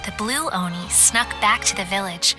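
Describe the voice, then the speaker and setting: A woman plays a storyteller in a video game. She narrates in a measured storybook manner at a middle pitch, with music clearly playing behind her. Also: lightly acted. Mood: storytelling. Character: storyteller narrating an old oni folktale.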